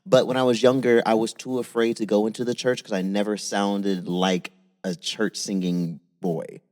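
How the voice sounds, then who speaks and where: A man in his thirties, a singer chatting casually as a podcast guest, animated and self-deprecating. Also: lowish voice, moderate pace. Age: 30s. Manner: animated, self-deprecating, breaks into playful voices.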